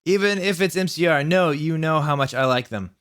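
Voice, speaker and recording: Man putting on a high, whiny teenage goth girl. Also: fairly quick, fairly bright tone, smooth, close to an everyday voice. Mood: indignant. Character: melodramatic angsty teenage goth girl, easily enraged. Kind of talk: mocking dramatic fan fiction reading.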